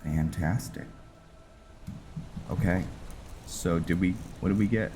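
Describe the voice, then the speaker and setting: A man giving casual commentary on his roleplay game playthrough, halting with many fillers. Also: low-pitched, slight background noise. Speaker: roleplay gaming host. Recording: voice over loud game music.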